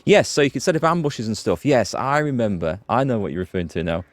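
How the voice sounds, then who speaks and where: A man's voice: medium pitch, expressive, fast talker, dry recording. A man streaming a strategy game live, chatting casually, thinking aloud and greeting viewers by name. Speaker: gaming streamer. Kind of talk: live let's play commentary.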